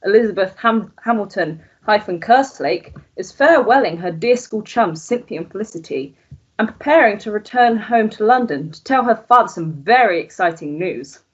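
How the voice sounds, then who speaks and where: A woman playing an arch, teasing narrator in a scripted radio melodrama, with a husky voice. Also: mid-range voice, expressive, moderate pace. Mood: arch, ominous. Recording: dull lo-fi recording.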